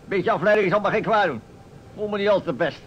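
An actor playing a pompous, blustering older small-town mayor, easily indignant, in a Dutch radio drama. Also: male voice, high-pitched, a little fast, a bit bright. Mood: self-important. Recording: muffled vintage radio recording with noise.

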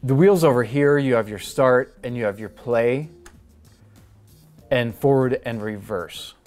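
Middle aged guy walking thru a how-to, medium pitch, plain n methodical. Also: a little slow, darkish tone, soft background music. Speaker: tutorial host. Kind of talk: step-by-step how-to demonstration.

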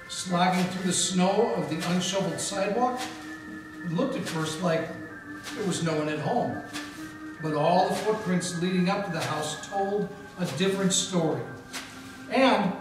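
Male detective narrator for a live radio play: high-ish, hard-boiled, roomy and distant, with music under him. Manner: wry, hard-boiled first-person storytelling. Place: historic mansion room with live audience and Christmas tree. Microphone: stand mic, filmed by a far camera. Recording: echoey room taped from far off.

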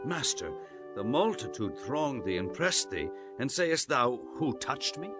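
A man's voice: mid-range voice, very animated, measured pace, over music. An actor playing a blunt, incredulous male disciple in a dramatized Bible reading.

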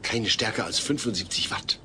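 A man's voice: lowish voice, normal speed, bright, forceful tone, slight background noise. An actor playing a sensible, exasperated family father, speaking earnestly and precisely in a comedy audio play. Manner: earnest, formal, precise wording.

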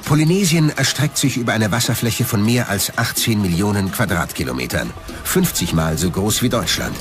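A man reads scripted documentary narration in a low voice. His intonation moves expressively up and down. Music plays clearly behind him. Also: animated, normal speed.

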